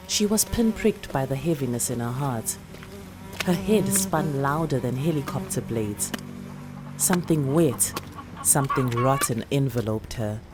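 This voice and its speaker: A woman with a South African accent reading a literary short story in a dramatic, deliberate, expressive way. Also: very deep voice.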